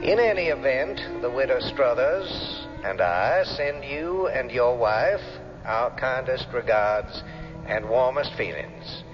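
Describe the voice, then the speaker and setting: A man's voice: mid-range voice, lively intonation, takes it slowly. A man playing a formal older father reading out a letter to his son in a 1970s radio drama. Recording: dull old radio broadcast with reverb and music.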